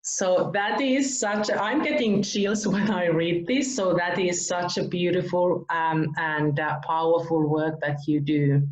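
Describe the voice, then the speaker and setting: A woman from Finland hosting an online interview, gushing with praise and laughing softly as she talks. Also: lowish voice, fairly even intonation, measured pace, hardly any pauses. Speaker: interview host. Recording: roomy, dull video call audio.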